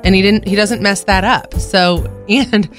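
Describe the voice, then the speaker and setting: A woman with a deeper voice co-hosting a morning radio show, chatting easily and laughing often. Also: fairly even intonation, measured pace, faint music behind. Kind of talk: casual radio chat.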